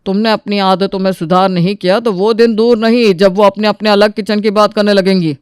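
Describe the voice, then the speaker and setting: A man's voice: sits high, steady tone, brisk, clear, resonant tone, no room sound, clean studio sound, close to an everyday voice. A man playing an elderly, reasonable but exasperated father-in-law who admonishes and pleads for peace in a family story. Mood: admonishing.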